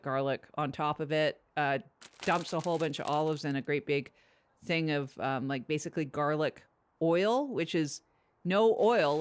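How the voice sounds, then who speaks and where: A woman's voice: low voice, slight background noise, natural voice. A woman playing a blunt, casual podcast producer, rambling matter-of-factly through absurd improvised comedy.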